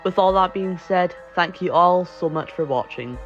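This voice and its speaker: A man's voice: sits high, normal speed, fairly bright tone. A man reading a scripted horror story as the narrator of an online video. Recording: dull, lo-fi voice track. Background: background music.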